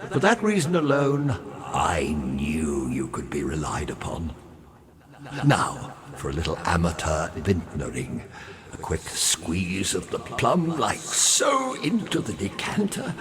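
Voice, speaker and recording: Game role: pompous revenant sea captain, wry and morbid, hugely animated pitch, slow relished delivery. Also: male voice, full character voice. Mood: gleeful, rapturous. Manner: florid, pompous, savoring his words. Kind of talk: theatrical video game character dialogue.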